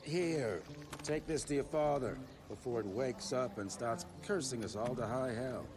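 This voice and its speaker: An actor playing a grizzled, sardonic older monster hunter near retirement in a game cutscene. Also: male voice, medium pitch, a little slow, background noise, lightly acted. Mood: wry. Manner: dry, sardonic.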